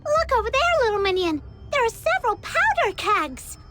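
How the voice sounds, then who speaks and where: An actress voicing a boastful, childlike self-styled demon king girl in a video game, theatrical and excitable. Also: very high-pitched, moderate pace, over music. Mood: excited. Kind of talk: video game character acting.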